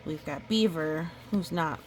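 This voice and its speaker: A woman reading a picture book aloud in a chatty, expressive way, with casual asides. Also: low-pitched, a little slow, a little hiss or hum, low-quality recording. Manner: chatty, expressive storytelling with playful sound effects. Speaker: storybook reader.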